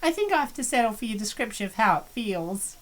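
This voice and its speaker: A woman voicing an earnest young college friend who gently pries, in a fan dub of a visual novel. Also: a little high, very animated, quick pace. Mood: concerned.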